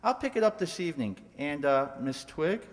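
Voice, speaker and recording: A man's voice: fairly high pitch, expressive, normal speed, natural voice. A man playing a nervous, evasive professor who stammers apologies in a radio mystery play. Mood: flustered, apologetic. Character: nervous, evasive male college professor hiding something. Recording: reverberant hall sound.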